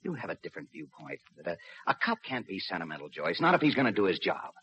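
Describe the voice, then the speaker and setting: An actor playing a dutiful, weary police lieutenant in a 1950s radio drama, with understated, slightly hesitant delivery. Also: male voice, deep voice, big pitch swings, quick pace, sharp tone, slight background noise, full character voice. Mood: defensive, firm. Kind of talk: 1950s radio drama acting and first-person narration. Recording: muffled old radio recording with music.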